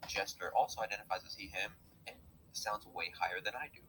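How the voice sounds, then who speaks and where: A man with a lowish, bright, forceful voice talks casually, reading out quotes and arguing his case. A faint hiss of noise sits behind him. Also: fast, rough recording. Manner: reads out quotes and argues points. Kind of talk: casual commentary chat.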